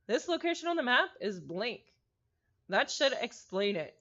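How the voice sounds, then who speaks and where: A woman voicing a puzzled, serious traveler from a visual novel in a measured, matter-of-fact way. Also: on the high side, very animated, a bit bright, highs cut off.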